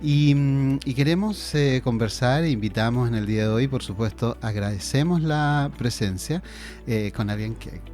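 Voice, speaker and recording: A man hosting a local radio interview, speaking in a hesitant way with many pauses and restarts. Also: medium pitch, darkish tone, soft background music. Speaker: radio host. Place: radio studio.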